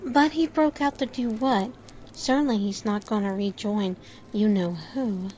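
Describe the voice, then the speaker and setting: A woman's voice: medium pitch, measured pace, a little mellow, close to an everyday voice. A woman playing a curious, gossipy tavern landlady in an audio play, speaking with questioning astonishment. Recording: dull, slightly noisy home recording.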